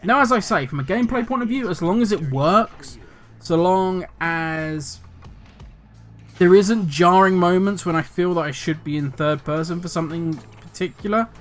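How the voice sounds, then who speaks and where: Older male gaming host, slightly raised pitch, rambling and grumpy in tone. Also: normal speed, soft background music. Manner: talkative, rambling, self-correcting; cynical, grumbling. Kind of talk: casual commentary video.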